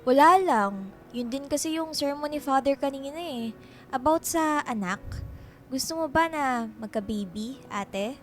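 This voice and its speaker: A woman's voice: sits high, measured pace, slight background noise. A woman playing a carefree, wheedling younger sister with a playful manner in a radio drama. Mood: casual, nosy.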